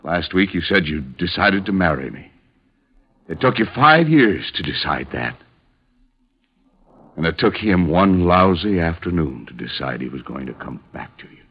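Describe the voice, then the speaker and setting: Desperate low-voiced killer in love. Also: male voice, big pitch swings, normal speed, slightly rough, full character voice. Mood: anguished. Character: desperate killer, jealous lover justifying himself to a woman. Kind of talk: 1950s radio drama acting. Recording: muffled old radio broadcast.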